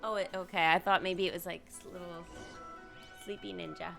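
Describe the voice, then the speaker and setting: A woman's voice: fairly low pitch, steady tone, a little fast, creaky. A woman reacting casually as she live-streams a video game. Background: soft game music.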